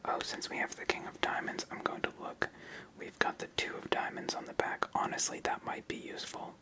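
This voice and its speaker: A person whispering gentle step-by-step ASMR commentary while playing a solitaire card game as host. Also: brisk.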